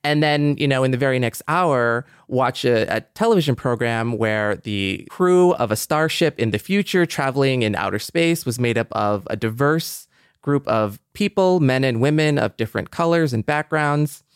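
A man's voice: medium pitch, animated, normal speed, clean tone, no room sound, clean studio sound. A middle-aged man co-hosting a podcast, speaking in an earnest, thoughtful way with hesitant, searching phrasing. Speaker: podcast co-host. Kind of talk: reflective podcast conversation.

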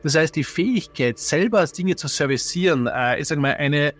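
A man's voice: a little high, expressive, normal speed. A man giving an interview answer, thinking aloud with restarts as he explains. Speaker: interviewed expert.

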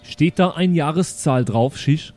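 A man voicing a naive, curious young son who talks in a halting, muddled, childlike way in an improvised audio play. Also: on the high side, a little mellow, faint music behind, close to an everyday voice. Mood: naive pleading.

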